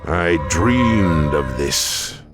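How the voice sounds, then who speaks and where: A man voicing a solemn, mystical tauren tribesman in a video game, grave and brooding in a very deep voice. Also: expressive, drawn-out words, full character voice. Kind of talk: video game cutscene acting. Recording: music behind the voice.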